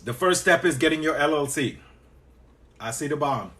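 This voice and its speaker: A man with a Trinidadian accent, a business coach teaching on a live stream and chatting back to viewers with quick repeated acknowledgements. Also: a little high, animated, fairly bright tone. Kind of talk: live-stream teaching while answering chat.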